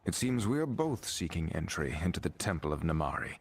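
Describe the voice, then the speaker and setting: An actor playing an earnest, resolute adventurer in a fantasy game, husky, serious and formal. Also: male voice, low-pitched, very animated, brisk.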